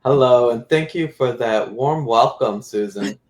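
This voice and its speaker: A man's voice: medium pitch, unhurried, slight background noise. Articulate man explaining his background. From Florida. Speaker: guest, community activist. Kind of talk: interview answers.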